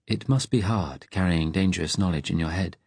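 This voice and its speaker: A man narrating an audiobook, voicing a calm, direct resistance woman with a serious, measured manner. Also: low-pitched, gravelly, slightly rough, no room sound, exaggerated voice. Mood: grave. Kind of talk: audiobook character dialogue.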